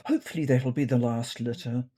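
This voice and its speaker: A woman's voice: a bass voice, steady tone, a little fast, dark tone, voice pushed down. A woman voicing a prim, practical, pedantic cat in a comic story, precise and informative in manner.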